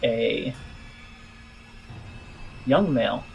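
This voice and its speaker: A man narrating as game master of a tabletop role-playing game, phrasing things haltingly and deliberately with pauses. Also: medium pitch, lively intonation, vocal fry, a little mellow, dull audio. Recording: music behind the voice. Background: music.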